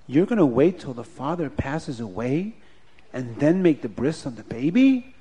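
A man's voice: medium pitch, sing-song, moderate pace, mellow, breathy edge. A man doing an indignant, respected rabbi. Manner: insistent, rallying the crowd.

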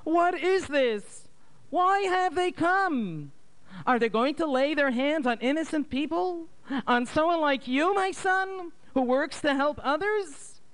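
A frantic old mother wails and begs in a high, quavering voice, as a male storyteller puts her on. Her pleas swing up and down, full of fright and grief. The tone stays clear and smooth. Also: very animated, a little slow, a bit bright, heavily acted. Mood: frightened. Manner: wailing high cries. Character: elderly mother, frantic, pleading, grief-stricken.

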